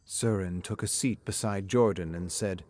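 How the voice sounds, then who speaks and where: Low-pitched man narrating lore. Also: normal speed, darkish tone. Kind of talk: scripted dramatic lore narration.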